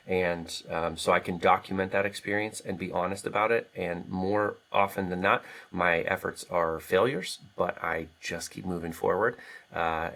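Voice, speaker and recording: A very low-pitched American man talks as a podcast guest. His voice has plenty of fry, and he speaks candidly and earnestly, like an author discussing his own work. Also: fairly bright tone. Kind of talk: conversational interview answers.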